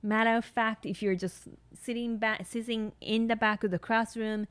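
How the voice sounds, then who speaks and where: A woman's voice: on the high side, steady tone, moderate pace, smooth, no room sound. A woman in her thirties from Japan with a Japanese accent, a nurse practitioner hosting a podcast and talking earnestly with many ums and you-knows. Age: 30s. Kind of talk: solo podcast monologue.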